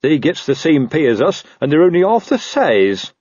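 A man's voice: a little high, big pitch swings, normal speed, darkish tone, husky, no echo, muffled recording, voice pushed up. A man voicing a fat, old, pompous police captain who snaps officiously and suspects everyone, in a fantasy audiobook. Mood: indignant. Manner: snapping, blustering, officious.

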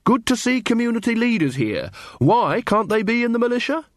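A man playing a keen, earnest watch corporal with a brisk, cheerful, commanding manner. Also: very high voice, very animated, dry recording, clean studio sound, voice pushed up.

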